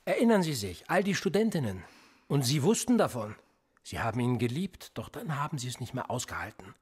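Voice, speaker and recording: An actor playing a world-weary, needling middle-aged police interrogator in a German radio play, pressing with rhetorical questions. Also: male voice, medium pitch, sing-song, fairly quick, breathy edge. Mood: sceptical, needling.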